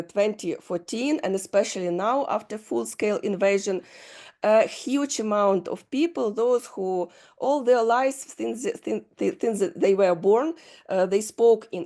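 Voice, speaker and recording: A woman with a Ukrainian accent giving a talk as a guest speaker, speaking in halting English full of fillers and restarts. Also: medium pitch, dark tone. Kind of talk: lecture answering questions.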